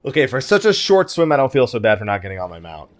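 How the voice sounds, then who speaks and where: Animated man doing casual game commentary. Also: medium pitch, quick pace. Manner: thinking aloud, muttering asides to himself. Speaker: let's play host.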